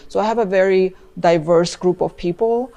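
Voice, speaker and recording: Middle-aged Armenian-accented woman, gravelly and fairly low, chatty interview guest. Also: normal speed, muffled recording. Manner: many fillers, chatty and warm about family. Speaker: immigration lawyer, guest. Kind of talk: casual interview chat.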